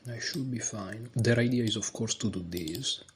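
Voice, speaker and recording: A man with a deep voice talking quietly through his moves as he plays an online chess game. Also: normal speed, husky. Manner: quiet, low-voiced thinking aloud. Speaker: chess streamer. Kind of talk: live game commentary.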